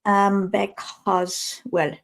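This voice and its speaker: A woman from France with a French accent, a native French speaker talking in English as a guest, thoughtful and pausing often to find the right words. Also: mid-range voice, little pitch movement, creaky. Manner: thoughtful, pauses to search for words. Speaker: guest, communication specialist. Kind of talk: interview conversation.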